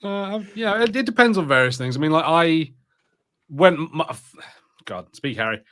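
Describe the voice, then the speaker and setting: A man co-hosting a podcast, chatting casually in a halting, hesitant way. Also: on the high side, animated, a bit bright. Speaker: podcast co-host. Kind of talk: casual chat.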